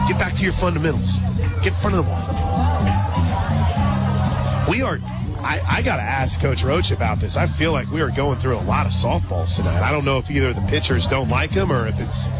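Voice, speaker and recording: A man doing live radio play-by-play of softball, chatty and informal, drifting into conversational asides between pitch calls. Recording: crowd noise and loud music behind. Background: ballpark crowd, music, outdoor stadium ambience.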